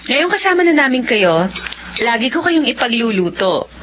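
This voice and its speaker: High-voiced young Filipina daughter. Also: female voice, big pitch swings, moderate pace. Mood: dutiful, affectionate. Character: young woman doctor, dutiful, tender, anxious daughter. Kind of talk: radio drama acting. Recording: dull radio sound, faint noise.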